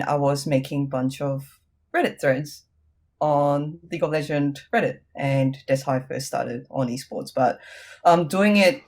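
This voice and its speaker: Woman with a New Zealand accent. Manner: hesitant, many ums, lively rises in pitch. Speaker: interview guest. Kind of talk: casual interview conversation.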